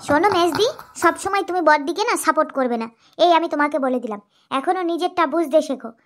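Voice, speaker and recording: A woman's voice: high voice, fast talker, lightly acted. A woman voicing an outspoken, cheeky youngest wife in a cartoon. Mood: scolding. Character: sharp-tongued youngest wife. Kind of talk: cartoon voice acting.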